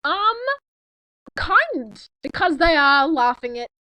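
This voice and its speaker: High, animated teenage girl. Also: slow pace, dry recording. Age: teens. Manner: giggly, rambling, sarcastic asides. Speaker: co-host of a fanfiction reading. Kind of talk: casual chat with mocking read-aloud commentary.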